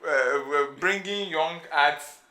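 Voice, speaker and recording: A man's voice: a little high, takes it slowly, bright, forceful tone, roomy sound. A man with a Ghanaian accent co-hosting a podcast, chatting casually and slipping into Pidgin. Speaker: podcast co-host. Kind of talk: casual chat.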